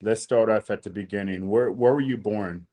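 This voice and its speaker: A man's voice: on the low side, moderate pace, mellow. A man hosting a podcast interview, laid-back and easily amused, dropping dude and bro. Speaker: podcast host. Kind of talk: casual interview chat.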